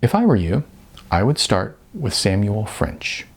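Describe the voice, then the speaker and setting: A man voicing a young woman receptionist in an audiobook, brisk and dismissive, explaining things with slight condescension. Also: on the low side, very animated, breathy edge, heavily acted. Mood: incredulous, advising. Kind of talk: audiobook character dialogue.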